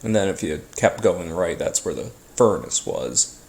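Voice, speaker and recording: A man telling a first-person story casually, as if being interviewed, in a halting, hesitant way with pauses and repeats. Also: low voice, expressive, gravelly, darkish tone, heavily acted. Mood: uneasy, reflective. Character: ordinary man recounting childhood brushes with a haunting.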